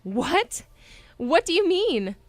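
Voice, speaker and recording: Woman playing a high-voiced, defiant young princess. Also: sing-song, slow, no room sound, heavily acted. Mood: confused. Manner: emotional, indignant, dramatic. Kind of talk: fan audio drama acting.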